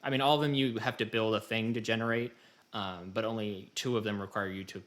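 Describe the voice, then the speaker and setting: A man's voice: lowish voice, steady tone, a little fast, a bit bright. An American man hosting a podcast in casual conversation, talking in a wry, rambling way. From United States. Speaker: podcast host. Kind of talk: casual chat.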